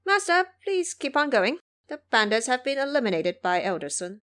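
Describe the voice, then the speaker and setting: Casting: defiant immortal monkey, male, high-pitched and boastful, hot-headed audio drama trickster played by a woman. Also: sing-song, normal speed, a bit bright. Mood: gleeful. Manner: boastful, retorting, calls himself Elder.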